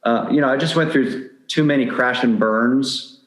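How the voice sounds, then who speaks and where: A man's voice: mid-range voice, normal speed, roomy sound, low-quality recording. A middle-aged man speaking candidly and anecdotally as a guest in a conversational interview. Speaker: podcast guest giving dating advice.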